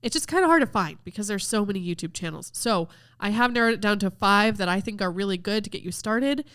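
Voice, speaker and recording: A woman's voice: mid-range voice, fast talker, smooth. Chatty woman with a stuffed-up voice. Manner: chatty, upbeat, lively recommending tone. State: stuffed up from a cold. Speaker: podcast host. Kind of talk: casual video review talk.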